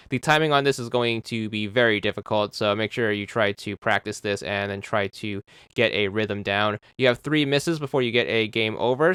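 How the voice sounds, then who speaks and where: A man's voice: fairly low pitch, a bit bright, clean tone, no room sound. A man narrating a game achievement walkthrough, methodically repeating step-by-step instructions into a close microphone. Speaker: achievement guide host.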